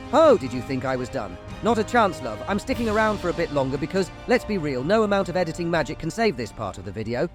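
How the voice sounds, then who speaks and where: A man's voice: a little high, voice pushed up. A man voicing his own sarcastic British inner voice in vlog voice-over, cheeky and grumbling. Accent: British, put on.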